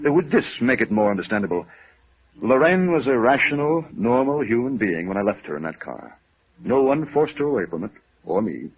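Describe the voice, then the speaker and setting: Husky, wounded older gentleman. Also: mid-range voice, very animated. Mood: defensive, pained. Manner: formal, measured, defensive. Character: proud, wounded, lovelorn older gentleman, formal and bitter. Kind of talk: 1950s radio drama acting. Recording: muffled vintage radio recording.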